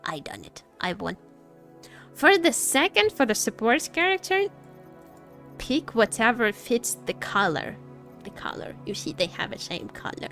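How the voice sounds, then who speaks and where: A woman livestreamer chatting casually with viewers about games, halting and jokey, often repeating herself. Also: a little high, sing-song, a bit bright. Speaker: livestreamer, gaming content creator. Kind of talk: casual live gaming commentary, chatting with viewers. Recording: faint music behind the voice. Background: faint music.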